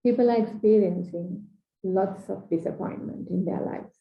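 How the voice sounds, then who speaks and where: A woman from Sri Lanka with a Sri Lankan English accent, giving an earnest, measured coaching talk in short, paused phrases. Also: mid-range voice, vocal fry. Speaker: transformational coach. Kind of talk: slow reflective training talk. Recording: dull echoey room recording.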